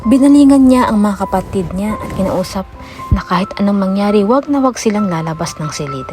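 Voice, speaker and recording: A woman's voice: mid-range voice, animated, brisk, darkish tone. Woman narrating a dramatic story over music. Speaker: audiobook narrator. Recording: music behind the voice. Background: background music.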